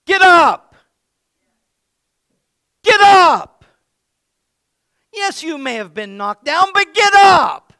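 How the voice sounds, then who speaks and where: A pastor preaching an energetic sermon. He jokes playfully, then shouts his exhortations. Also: very high voice, drawn-out words, vocal fry, pauses often, dry recording, studio quality.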